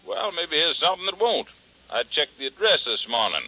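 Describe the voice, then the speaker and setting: Very bright, breezy American lawman. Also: a little high, sing-song, normal speed, heavily acted. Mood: intrigued. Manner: chatty, joking, informal. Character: breezy, folksy male district attorney's deputy. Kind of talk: 1950s American radio drama acting. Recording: dull vintage 1950s radio recording.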